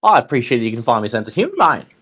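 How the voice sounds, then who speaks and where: A man's voice: medium pitch, very animated, very fast, background noise, close to an everyday voice. A man voicing a cheeky, needling joker in a tabletop roleplay, punning and calling everyone mate. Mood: dry sarcasm. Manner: punning, peppers talk with "mate". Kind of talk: improvised in-character roleplay.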